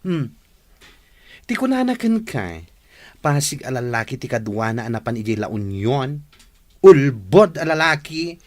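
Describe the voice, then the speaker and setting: A man's voice: medium pitch, very animated, a little slow, long pauses. A man playing a gossipy, indignant friend who bears bad news in an Ilocano Filipino radio drama. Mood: gossipy, urgent.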